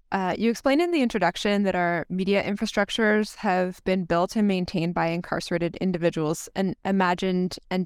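A woman hosting an interview, putting prepared questions to guests in a measured, articulate way. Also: on the low side, a little mellow, smooth, no echo, studio quality. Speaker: interviewer, host.

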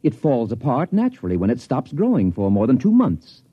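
A husky, absent-minded old-radio scientist. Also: male voice, mid-range voice, wildly swooping pitch, a little fast, dry recording. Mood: calmly confident. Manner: mild, hesitant, musing, professorial. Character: unflappable absent-minded male scientist, smugly detached doctor. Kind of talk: 1950s radio drama acting. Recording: dull, dry vintage radio recording.

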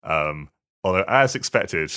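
A man co-hosting a podcast, giving casual tech reviews in a halting, self-correcting way. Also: low voice, animated, pushed and bright, clear, resonant tone. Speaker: podcast co-host, games hardware reviewer.

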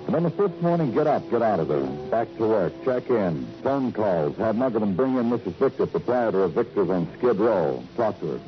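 Hard-boiled police detective, terse and weary, lower register, dull vintage radio sound. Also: male voice, very animated, normal speed, hardly any pauses. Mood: wry, terse. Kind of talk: classic radio crime drama, first-person narration and dialogue. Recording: dull old radio recording, music behind.

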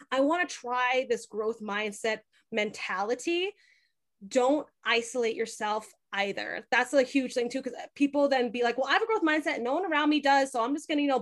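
A young woman chatting casually in a podcast interview, telling things in a talkative way with lots of "like" and acted-out quotes. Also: high-pitched. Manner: chatty, animated storytelling with frequent "like" and quoted dialogue. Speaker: podcast host being interviewed.

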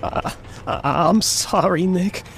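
An actor playing a frightened young man in over his head in a radio drama, halting and pleading. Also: high voice, gravelly, a little hiss or hum, heavily acted. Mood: weak, remorseful.

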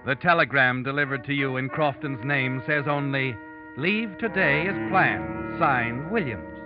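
An actor playing a knowing, taunting storyteller, narrating an old radio drama ominously in the second person. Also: male voice, medium pitch, a little slow, close to an everyday voice. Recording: dull vintage radio recording, music behind. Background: dramatic music.

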